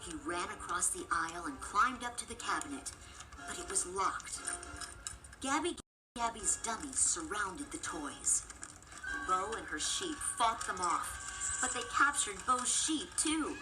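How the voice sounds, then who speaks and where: A woman reading a scripted children's storybook as narrator, expressive and clearly phrased. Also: mid-range voice, measured pace, music in the background, low-quality recording.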